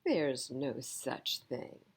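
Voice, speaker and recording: A woman's voice: extremely low pitch, sing-song, drawn-out words, slightly rough, voice pushed down, heavily acted. A woman voicing a kindly, sensible male hare who worries about his friends in a children's storybook. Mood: incredulous.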